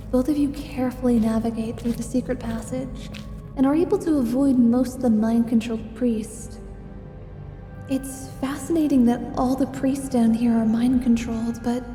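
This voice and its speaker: A woman acts as narrator of a scripted fantasy roleplay, guiding the listener in second person. Her voice is high and mellow, with reverb and faint music behind it. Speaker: narrator, game master.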